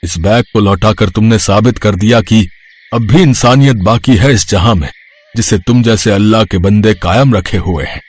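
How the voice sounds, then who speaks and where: A man voicing a kindly, pious old man in an animated moral story, speaking with halting, hesitant openings. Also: fairly low pitch, breathy edge. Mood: grateful, insistent. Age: older.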